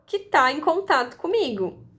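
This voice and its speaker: A woman giving a calm, explanatory lesson, repeating her points and using analogies. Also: high voice, moderate pace. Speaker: astrology teacher.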